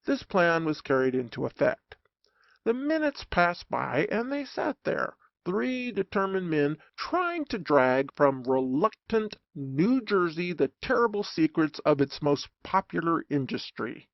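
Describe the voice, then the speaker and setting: An audiobook narrator reading a humorous story in a theatrical, expressive way. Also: mid-range voice, a little slow, creaky, dry recording, highs cut off.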